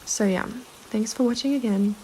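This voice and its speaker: A woman's voice: fairly high pitch, normal speed, a little mellow, slight background noise, rough recording. A young woman vlogger, slightly under the weather, giving earnest, reassuring advice to camera with frequent fillers. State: slightly sick. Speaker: self-help vlogger. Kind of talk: casual advice talk to camera.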